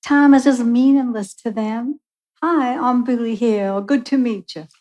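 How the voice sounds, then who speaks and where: Woman doing bitter suspicious patient lady for radio drama, slowish, higher voice, always griping. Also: warm tone, natural voice, poor audio. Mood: wry. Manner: drawn-out, griping. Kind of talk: live radio play acting.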